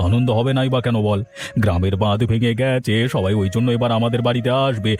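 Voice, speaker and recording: A man's voice: medium pitch, steady tone, brisk, a little mellow, faint music behind, natural voice. A man playing a greedy, haughty village landlord in a melodrama, blustering and bossy. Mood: gloating. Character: greedy, haughty village landlord, bullying his servant and tenants. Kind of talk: melodramatic Bengali village audio drama.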